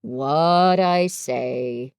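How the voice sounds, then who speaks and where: A woman's voice: a bass voice, a little mellow, smooth, no room sound, clean studio sound, voice pushed down, exaggerated voice. A woman voicing a gloomy, put-upon old donkey in a children's story, grumbling in a dreary, resigned way. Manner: slow, dreary, resigned complaining. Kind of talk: children's storybook character reading.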